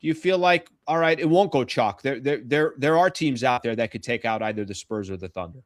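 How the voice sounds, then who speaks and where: An American man hosts casual sports talk in a mid-pitched voice. The sound is dry, with no room around it, as he trades views and questions conversationally. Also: lively intonation, a little fast, dry recording. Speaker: sports talk show host.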